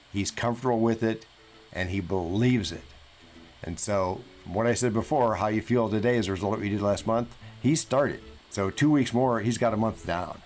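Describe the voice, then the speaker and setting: A man giving conversational financial advice in an emphatic, deliberate manner, repeating words for emphasis. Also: fairly low pitch. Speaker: financial coach and podcast guest speaker. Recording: music playing behind the voice.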